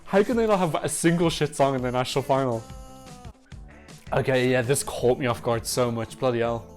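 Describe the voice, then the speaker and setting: This is an excitable man chatting through a song reaction, quick to swear in delight. His voice sits at an ordinary middle pitch. Faint music plays quietly underneath him. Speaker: Eurovision reaction YouTuber. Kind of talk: casual reaction commentary. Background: faint music playing.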